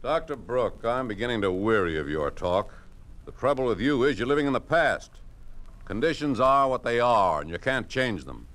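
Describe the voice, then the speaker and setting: An actor playing a weary, domineering communist official in a 1950s radio drama, speaking deliberately and with condescension. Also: male voice, medium pitch, sing-song, fairly bright tone. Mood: condescending, weary. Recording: vintage 1950s radio broadcast.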